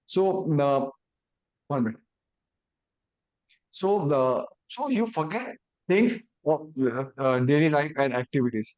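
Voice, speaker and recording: Man, Indian English accent, native Hindi speaker, simple repeated phrases, dull muffled recording. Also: fairly high pitch. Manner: explains in simple repeated phrases, acting out confused thoughts. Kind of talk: awareness talk with everyday examples.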